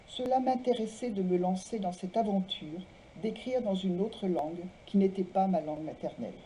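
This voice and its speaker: A woman presenting and reading literary texts aloud in a measured way, phrase by phrase. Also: medium pitch, warm tone, slight background noise, rough recording. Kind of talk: literary talk, biographical introductions and read-aloud texts.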